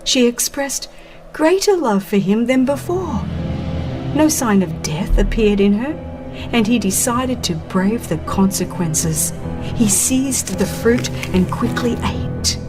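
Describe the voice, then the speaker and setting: A woman reading a dramatized religious audiobook as a narrator, in a solemn, measured and expressive storytelling manner. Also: a little high, music in the background.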